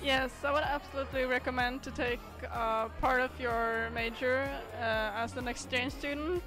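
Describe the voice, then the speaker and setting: A woman's voice: sits high, steady tone, moderate pace, low-quality recording. A Norwegian woman in her twenties, an exchange student speaking English with a Norwegian accent, hesitant and searching for words. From Norway. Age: 20s. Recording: music playing under the voice.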